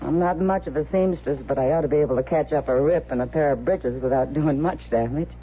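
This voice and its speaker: A woman's voice: low voice, lightly acted. Woman playing a firm frontier saloon woman, tinny radio. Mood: kindly, practical. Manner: brisk, kindly but firm. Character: protective, plain-spoken frontier saloon woman. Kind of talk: 1950s radio western acting. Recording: noisy, tinny old radio broadcast.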